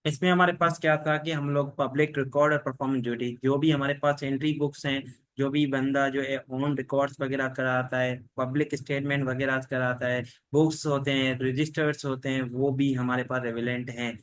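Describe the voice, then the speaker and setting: Man, flat-voiced lecturer, online class, repetitive and filler-heavy. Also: medium pitch, brisk. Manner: steady, repetitive explaining with many fillers. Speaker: teacher.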